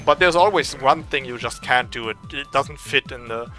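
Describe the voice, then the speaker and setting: A young man with a German accent, giving excitable, swearing, trash-talking gameplay commentary. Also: medium pitch, normal speed, faint music behind. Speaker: gaming streamer.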